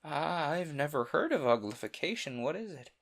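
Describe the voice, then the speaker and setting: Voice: medium pitch, big pitch swings, normal speed, voice pushed up. Reader doing polite little Alice. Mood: curious. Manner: polite, curious questions. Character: polite curious young girl Alice.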